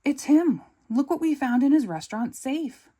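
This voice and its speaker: A woman reading a storybook aloud, voicing an excitable, bald male ex-villain turned spy who talks high and loud. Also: moderate pace. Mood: excited.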